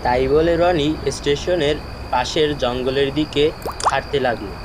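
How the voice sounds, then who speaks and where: A man's voice: medium pitch, moderate pace, noisy background. A man narrating a scripted horror story.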